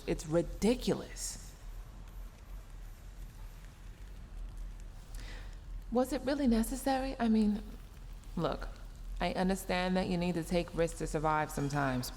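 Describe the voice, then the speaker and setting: A woman's voice: lowish voice, gravelly, darkish tone, big-room sound, a little hiss or hum. A woman voicing a tsundere mech engineer in a sci-fi audio roleplay, sarcastic and exasperated, scolding while secretly caring. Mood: stern concern. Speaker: roleplay voice performer.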